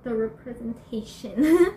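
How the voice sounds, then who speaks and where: A teenage girl chatting in a bubbly way in a casual home vlog, laughing often and filling pauses with fillers. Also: fairly high pitch, expressive, warm tone, a little hiss or hum. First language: Spanish and English. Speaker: YouTuber. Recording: echoey room, faint ambience.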